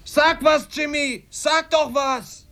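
An actor in a German radio drama playing a small, frantic, devoted sailor who cries out urgently and pleadingly. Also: male voice, extremely high pitch, fairly even intonation, slow, bright, forceful tone, full character voice. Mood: desperate, pleading. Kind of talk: German radio drama acting with narrated passages.

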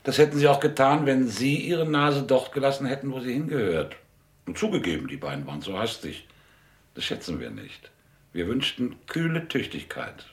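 An actor playing a middle-aged, elegant mobster in a German radio drama, businesslike and coolly threatening. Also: male voice, medium pitch, big pitch swings, normal speed. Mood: businesslike threat.

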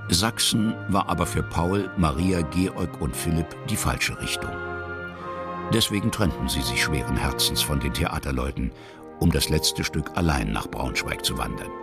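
A man's voice: lively intonation, normal speed. A man narrating a historical children's adventure as scripted radio drama storytelling. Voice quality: very deep, gravelly. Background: music.

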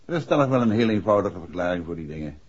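A man playing a patient, middle-aged gentleman detective who questions in a measured, coaxing way in a 1960s radio play. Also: low voice, sing-song, breathy edge, full character voice. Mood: gently suggestive. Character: probing, gentlemanly male detective, patient and suave. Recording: dull 1960s radio drama recording.

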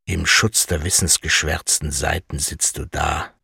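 An actor playing the bitter, resigned inner voice of an elderly professor in a radio drama, measured and sardonic. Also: male voice, rumbling low, steady tone, gravelly, pushed and bright, husky, no echo, clean studio sound, full character voice.

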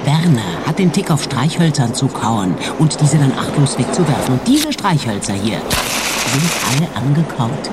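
A man playing a brisk, self-assured amateur sleuth in a comic radio crime drama. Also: mid-range voice, very animated, very noisy, lightly acted.

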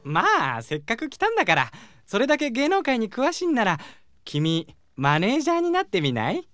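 A woman's voice: fairly high pitch, huge pitch swings, brisk, a bit bright, no room sound, studio quality, soft background music. A woman playing a breezy, blunt audition judge and talent scout in a comedic audio drama. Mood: coaxing.

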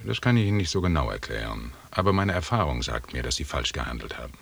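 An actor playing a calm, imperious British expedition leader in a German radio drama, speaking in a measured, formal way with authority. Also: male voice, extremely low pitch, vocal fry, husky, exaggerated voice. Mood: measured reproach.

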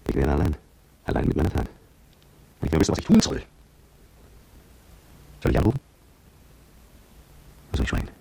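Very deep, gravelly, tormented petrol attendant. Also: male voice, huge pitch swings, a little fast, long pauses, dark tone, full character voice, poor audio. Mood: bitter, agonised. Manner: brooding, self-accusing, agonised. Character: self-loathing male petrol station attendant, anxious, bitter. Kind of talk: radio drama inner monologue.

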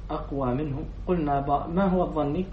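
Sheikh lecturing in an echoey hall. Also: male voice, on the high side, fast talker. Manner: quizzes students, then repeats their answers. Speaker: sheikh teaching a class. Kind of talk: lecture reading a text and explaining it. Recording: echoey room, distant dull mic, faint noise.

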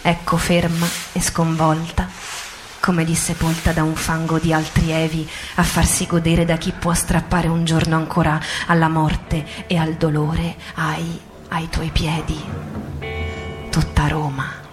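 A woman narrator giving a dramatic literary reading in radio theatre, with measured, deliberate phrasing. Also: low voice, completely flat delivery. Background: music.

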